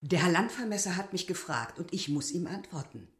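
A woman's voice: on the low side, expressive, a little fast, close to an everyday voice. An actress plays a middle-aged innkeeper woman in a radio drama. She is proud and self-important, lecturing in formal, emphatic phrases with a weary edge. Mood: indignant lecturing. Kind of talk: literary radio drama acting.

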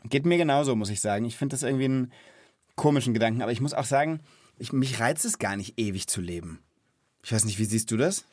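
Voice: mid-range voice, animated, fast talker, a little mellow, dry recording. Dry close-miked podcast host. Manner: colloquial, explains simply, asks follow-up questions. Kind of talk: casual conversational interview for a children's podcast.

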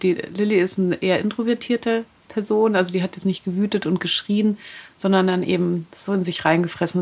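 A woman's voice: fairly low pitch, a little mellow. A woman in her thirties, a podcast guest candidly telling her personal story. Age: 30s.

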